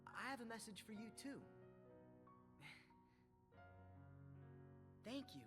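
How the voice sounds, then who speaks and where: A woman's voice: on the high side, expressive, drawn-out words, big-room sound, music in the background. A woman voicing a kind, earnest young male hero in a video game cutscene, friendly in manner.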